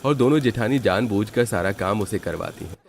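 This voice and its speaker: A man's voice: lowish voice, expressive, a little fast, creaky, slight background noise. A man narrating a scripted Hindi family story as its storyteller.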